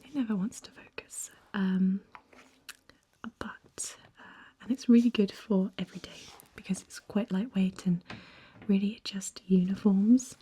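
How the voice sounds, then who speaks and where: A young woman talks in a breathy near-whisper, unhurried and halting. The tone is mellow and gentle, with a slight croak from being hoarse. It sounds like a close-up, soft-spoken ASMR makeup chat. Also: mid-range voice, fairly even intonation. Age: young adult. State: slightly hoarse after illness. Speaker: ASMR vlogger.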